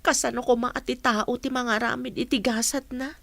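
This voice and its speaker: A woman's voice: a little high, fairly even intonation, moderate pace, gravelly, a bit bright, dry recording. A woman with a Filipino accent playing a sulky, envious young daughter in a radio drama. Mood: questioning.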